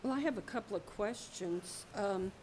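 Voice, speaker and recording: A woman school board member chairing a public meeting, asking direct, brisk questions. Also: medium pitch, noisy background.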